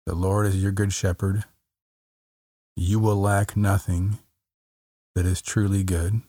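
Casting: man with a very low voice, unhurried, gentle meditation guide, dry close sound. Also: dry recording. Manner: slow, soft, calm, repeats phrases with long pauses.

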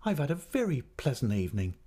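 A man's voice: on the high side, brisk, dark tone, pitched above the performer's own voice, lightly acted. A man voicing a petulant, self-pitying young mother in a storybook reading, with plaintive, dramatic protests. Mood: cheerful.

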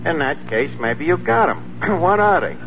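An actor playing a wisecracking, pretentious lowbrow New York tavern manager with mock-refined diction in a 1940s radio comedy. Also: male voice, very animated, moderate pace, over music. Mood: sardonic, breezy. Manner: sardonic, mock-refined, mangles grammar and fancy words. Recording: tinny, noisy 1940s radio recording.

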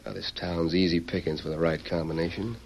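Swaggering low-voiced outlaw. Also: male voice, steady tone, a little fast, vocal fry, darkish tone, slight background noise. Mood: sly, scheming. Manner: cocky, slangy, goading. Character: swaggering, menacing, scheming male western gunslinger outlaw. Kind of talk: old-time radio western acting. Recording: muffled vintage radio recording.

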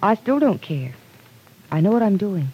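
A woman's voice: medium pitch, big pitch swings, normal speed, husky, highs cut off. An actress playing an earnest, stubborn, idealistic young small-town woman in an old-time radio drama. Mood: reassuring, stubborn. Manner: earnest, insistent.

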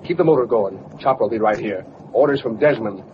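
A man plays a tough gang driver, quick and terse. The old radio recording is muffled and clearly noisy. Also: fairly low pitch, expressive, close to an everyday voice. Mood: urgent. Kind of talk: old-time radio acting.

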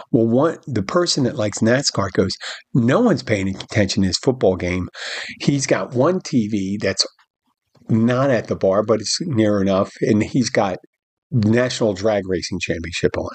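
A man's voice: lowish voice, breathy edge, no echo. A middle-aged man hosting a podcast, telling a rambling story about his bartending shift and acting out the dialogue. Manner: rambling, animated retelling with quoted dialogue and restarts. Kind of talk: casual storytelling monologue.

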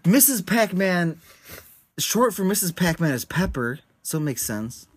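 A man's voice: high voice, sing-song, a little slow, husky, no echo. A man co-hosting a casual podcast, teasing and sarcastic, with some swearing. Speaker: podcast co-host.

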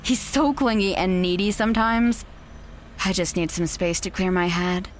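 A woman's voice: medium pitch, normal speed, a little hiss or hum, close to an everyday voice. A woman playing a cold, condescending ex-fiancée. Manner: condescending, dismissive. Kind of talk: audio drama acting.

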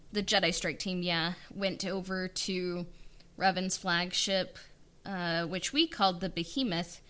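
A woman chatting casually in an interview, pausing to search for her words. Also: on the low side, sharp tone, clear, resonant tone. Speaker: podcast co-host, audio drama writer being interviewed.

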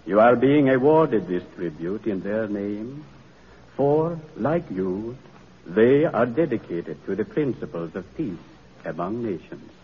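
A man's voice: medium pitch, lightly acted. An actor playing a solemn, dignified male envoy in an old radio drama, speaking in a formal, ceremonious way. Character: solemn dignified Swedish envoy bestowing an award. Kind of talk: 1940s radio drama acting. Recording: dull, noisy vintage radio recording.

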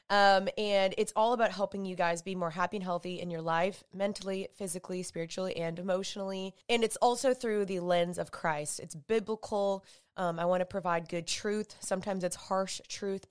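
A woman's voice: medium pitch, clear, resonant tone. A young woman, chatting upbeat on her solo podcast while stuffy and congested. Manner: chatty, upbeat, with filler likes. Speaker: podcast host. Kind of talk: casual solo podcast talk.

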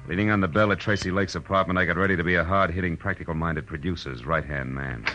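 An actor playing a brash, wisecracking private eye with clipped, sardonic delivery, narrating and acting in 1940s radio noir. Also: male voice, low voice, fast, pushed and bright, dull audio, faint music behind. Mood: wry narrating. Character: brash, wisecracking male hard-boiled private detective. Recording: faintly noisy old radio recording.

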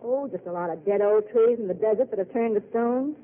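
A woman's voice: medium pitch, a little fast, lightly acted. An actress playing a bored, impatient young woman, the daughter of a roadside diner owner, in a 1940s radio drama. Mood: restless. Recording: tinny old radio broadcast.

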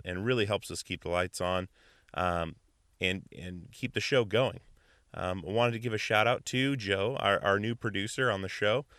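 A man hosting a podcast in a relaxed, casual way, with lots of fillers and restarts. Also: on the low side, clear, resonant tone, dry recording, clean studio sound. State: hoarse after stomach flu. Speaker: podcast host. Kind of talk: casual podcast intro and interview.

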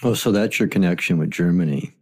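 A man's voice: deep voice, brisk, a little mellow, husky. A man interviewing his wife, asking prompting questions with light teasing. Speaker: interviewer and husband. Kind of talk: casual interview.

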